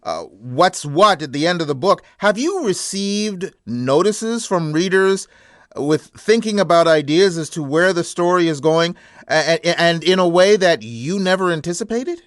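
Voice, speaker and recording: A man's voice: high-pitched, lively intonation, unhurried, fairly bright tone, dry recording, studio quality. A man hosting a radio interview, asking questions with some stammering and restarts. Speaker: radio show host.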